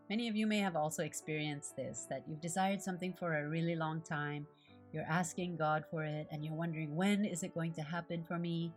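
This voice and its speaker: A middle-aged woman, a native Japanese speaker from Japan, giving a calm, gentle devotional voice-over as she makes an art journal. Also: deep voice. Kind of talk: reflective devotional voice-over while crafting. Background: faint guitar music.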